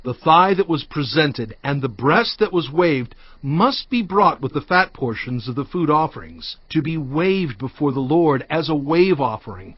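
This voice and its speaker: A man's voice: on the high side, lively intonation, measured pace, few pauses, breathy edge, no room sound, lo-fi sound. A man playing a stern, commanding prophet in a dramatised audio Bible, speaking in a solemn, emphatic, declamatory way. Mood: instructing. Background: background music.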